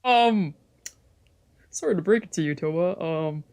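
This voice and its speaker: A streamer giving casual, excitable, rambling let's play commentary with giggly asides. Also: medium pitch, unhurried, clean tone. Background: game music.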